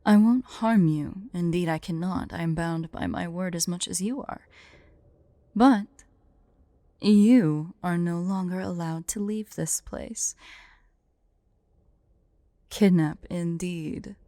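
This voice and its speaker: A woman playing a haughty, prickly but secretly fond female dragon in an ASMR roleplay, imperious and deliberate. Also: lowish voice, dark tone, dry recording. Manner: imperious, indignant, slow and deliberate.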